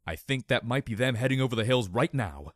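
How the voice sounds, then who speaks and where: A man's voice: mid-range voice, expressive, brisk, no room sound, clean studio sound, natural voice. Man playing a serious, dutiful female captain. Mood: urgent, serious. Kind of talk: storybook reading.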